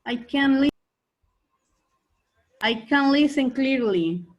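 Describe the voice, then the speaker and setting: A shy woman in her thirties with an Ecuadorian Spanish accent speaks very slowly and haltingly. Her audio comes through a dull, lo-fi video call. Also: sits high, animated. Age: 30s. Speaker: participant in a teachers' workshop.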